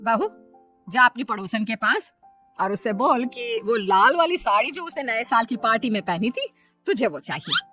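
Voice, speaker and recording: A woman's voice: a little high, animated, normal speed, pushed and bright, soft background music. A woman voicing a scheming older mother-in-law in a cartoon family story, bossy and scolding. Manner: scolding, domineering.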